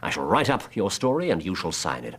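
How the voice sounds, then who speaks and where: A shrewd detective in a radio drama. His low, slightly husky voice moves through wide swings of pitch, precise and probing, with merciful authority. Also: wildly swooping pitch, a little fast, fairly bright tone, full character voice. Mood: measured, reassuring. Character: shrewd, commanding male detective, coolly incisive and merciful.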